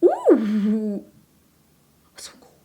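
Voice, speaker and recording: A woman's voice: fairly high pitch, huge pitch swings, drawn-out words, mellow. A young woman from Sweden, chatting casually and self-deprecatingly while she does her makeup on camera. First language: Swedish. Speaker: beauty vlogger. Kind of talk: makeup tutorial chat.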